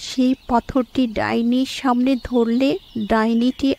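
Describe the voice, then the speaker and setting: A woman voicing a helpful female fairy in a cartoon, calmly explaining and instructing. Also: a little high, measured pace, a little hiss or hum. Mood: calm instruction.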